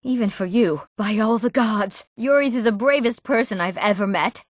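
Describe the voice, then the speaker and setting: A woman's voice: a little high, moderate pace, no echo, studio quality, lightly acted. A young woman playing an earnest, proper young townswoman and noblewoman in a fantasy video game, speaking politely and formally with careful enunciation. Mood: wary, serious. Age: young adult. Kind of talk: scripted fantasy game dialogue.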